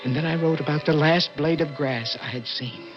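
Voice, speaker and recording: A man's voice: a little high, lightly acted. A man playing a desperate young imprisoned poet in earnest, dramatic 1960s radio suspense style. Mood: feverish. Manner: intense, earnest, dramatic, halting when startled. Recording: dull old radio recording, prominent music.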